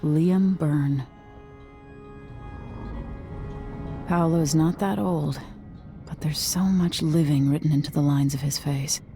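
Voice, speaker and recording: An actress playing a young exiled empress in a video game, speaking in a measured, introspective, serious way. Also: female voice, low-pitched, steady tone, dark tone, faint music behind. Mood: reflective. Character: brooding young exiled empress, determined and self-questioning. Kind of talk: video game cutscene monologue and dialogue.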